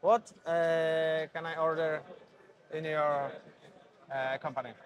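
A man's voice: a little high, little pitch movement, low-quality recording. Polish man interviewing at a bike expo. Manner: halting non-native English with fillers. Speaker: interviewer, channel host. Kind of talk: casual trade-fair interview. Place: busy indoor bike expo hall. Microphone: clip-on mic.

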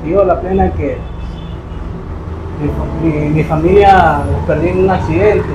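A young man playing a poor young worker who is soft-spoken and tearful, in a staged charity video. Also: on the high side, fairly even intonation, muffled recording, close to an everyday voice. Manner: quiet, halting, emotional. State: crying. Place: indoor room. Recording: echoey room with music behind.

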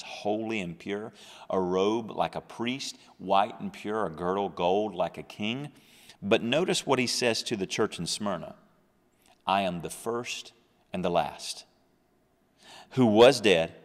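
Deep-voiced man teaching scripture earnestly. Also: long reverb tail. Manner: earnest, reads scripture with swelling emphasis. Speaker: podcast Bible teacher. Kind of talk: Bible teaching. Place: small studio with a dark backdrop. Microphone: large desk microphone close up.

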